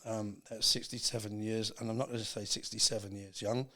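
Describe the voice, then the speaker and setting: A man's voice: on the low side, little pitch movement, brisk, pushed and bright. A man in his sixties talking casually and plainly as a podcast guest, with emphatic phrasing. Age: 60s. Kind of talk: casual chat.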